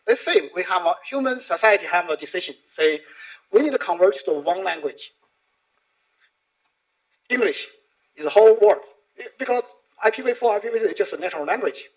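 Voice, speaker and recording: A man's voice: high voice, a bit bright, rough recording. A middle-aged man, a native Chinese speaker with a Chinese accent, giving an informal, explanatory conference talk in non-native English, often checking in with short tag questions.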